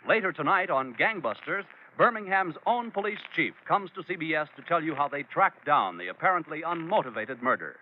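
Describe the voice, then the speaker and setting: Male 1940s American radio announcer: lively swings in pitch, scripted promo read, slightly dull vintage sound. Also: fairly quick, few pauses. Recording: dull, faintly noisy vintage radio recording.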